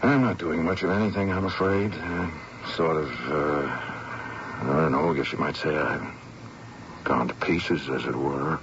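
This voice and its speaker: A man's voice: extremely low pitch, steady tone, measured pace. An actor playing a haunted, weakened man, anxious and broken, with a hesitant, faltering delivery in a radio drama. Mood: faltering, defeated. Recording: noisy, muffled old radio recording.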